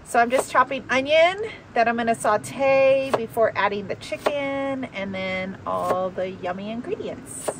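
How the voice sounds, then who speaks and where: A woman's voice: a little high, a little slow, hardly any pauses, background noise. A woman in her thirties, cooking outdoors at a campsite and chatting as she explains each step of the recipe. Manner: chatty, light laughs while explaining. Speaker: camp cook, co-host. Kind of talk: casual cooking vlog.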